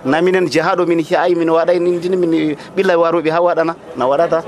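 A man who trades at an outdoor market, interviewed in the field and speaking with hesitant pauses. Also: a little high, flat delivery. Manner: hesitant, halting talk.

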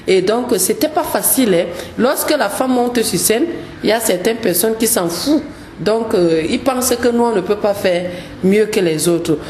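A woman's voice: mid-range voice, normal speed, a little mellow, slight background noise. Earnest woman, echoey room. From Cameroon. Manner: earnest, repeats words while searching. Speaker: gospel singer, guest. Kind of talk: radio interview.

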